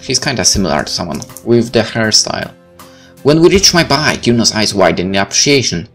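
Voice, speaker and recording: A man reading game text aloud and reacting in an expressive, blunt way. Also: on the low side, a little fast. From Poland. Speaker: let's play host. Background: game music.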